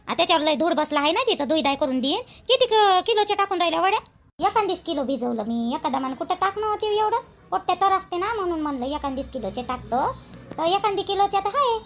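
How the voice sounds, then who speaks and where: A chatty rural housewife from a cartoon as acted by a woman, with an exceptionally high, almost childlike voice. She speaks homely Vidarbha Marathi in an expressive gossiping style, stretching out her words. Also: full character voice. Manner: colloquial, expressive, drawn-out calls.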